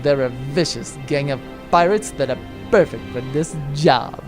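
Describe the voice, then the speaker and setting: An actor playing a grandiose, pompous space adventurer with theatrical delivery in a comic sci-fi audio drama. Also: male voice, mid-range voice, measured pace, music in the background, natural voice. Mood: scheming.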